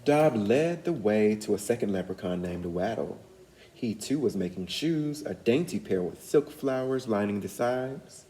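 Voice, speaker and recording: A man reading a storybook aloud as an educator, pausing to put guiding questions to young listeners. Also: lowish voice, lively intonation, warm tone.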